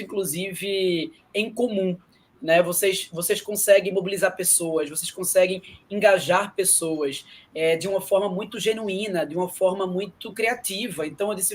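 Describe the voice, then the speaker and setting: A man's voice: high voice, slightly rough. A man hosting a casual online talk, introducing his guests in a friendly, informal way. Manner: friendly, informal, uses slang like "velho" and "mano". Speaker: host.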